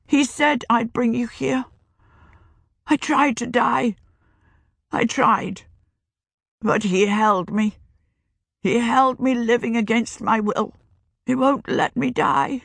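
A woman's voice: on the high side, creaky, husky, no echo, voice pushed up, full character voice. A woman voicing a frightened, cursed old witch woman who pleads and begs to be allowed to die, in an audiobook reading. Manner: pleading, quavering, begging to die.

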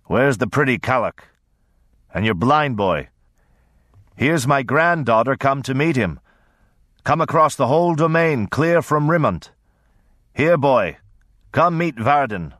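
A man's voice: mid-range voice, lively intonation, unhurried, a bit bright, dry recording, clean studio sound, pitched above the performer's own voice. A man voicing a brassy, bullying old grandfather lord in an audiobook, boastful and mocking in manner. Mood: crowing, domineering. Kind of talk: audiobook character voice.

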